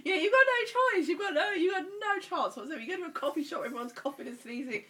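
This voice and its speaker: A woman vlogging in a chatty, animated way, her voice stuffy and congested from a cold. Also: extremely high pitch, fast talker. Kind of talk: casual chat.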